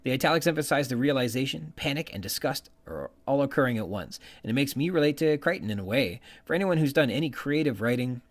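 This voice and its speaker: Male podcast host, medium pitch with very animated intonation, bookish, reading analytical notes and quotations. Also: fast talker, hardly any pauses. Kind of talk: literary commentary reading from prepared notes, quoting passages.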